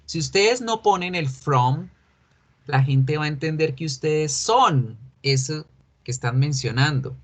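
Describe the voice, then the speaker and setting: A man speaking as an English teacher, explaining a recorded lesson patiently and repeating key words slowly. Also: fairly high pitch, animated, fairly bright tone. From Colombia.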